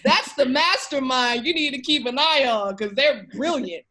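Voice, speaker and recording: A woman's voice: high voice, normal speed, sharp tone. A woman speaking as an interview guest, emphatic and encouraging, addressing the listener directly in a conversational way. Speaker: podcast guest, education researcher.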